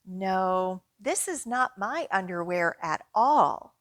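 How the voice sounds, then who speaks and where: A woman voicing an earnest, forgetful polar bear from a children's picture book in a simple, expressive way. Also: medium pitch, slow pace, no room sound, studio quality. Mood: puzzled, dismissive.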